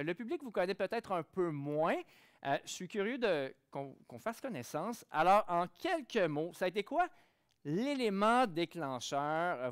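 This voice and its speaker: High-voiced Québécois man moderating a panel. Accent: Québécois French. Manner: playful, joking asides with the audience. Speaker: forum moderator. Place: conference hall stage.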